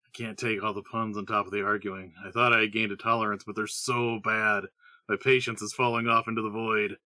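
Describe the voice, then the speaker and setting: A man's voice: lowish voice, level tone, fairly quick, pushed and bright, no echo. A man playing a weary, exasperated gnome in an amateur comedic fantasy audio drama.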